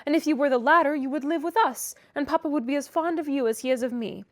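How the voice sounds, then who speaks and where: An impulsive teenage girl, voiced by a woman reader, earnest and pert, her tone pitched bright and high. She is warm-hearted but quick to flare up. The reading sits close to the mic in a dry space. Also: dry recording, lightly acted. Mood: indignant.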